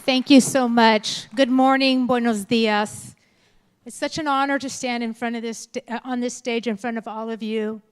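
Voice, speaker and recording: A woman of fifty-nine from California, Spanish her first language, giving a frank, lively keynote speech at a school assembly and talking straight to the audience. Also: on the high side, normal speed, clean tone. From California, United States. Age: 50s. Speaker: keynote speaker. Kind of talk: candid motivational speech.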